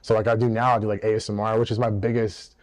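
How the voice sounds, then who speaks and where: A young man with a deep voice, chatting upbeat and animated as a guest in a casual interview, often laughing. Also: fast. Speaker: guest content creator.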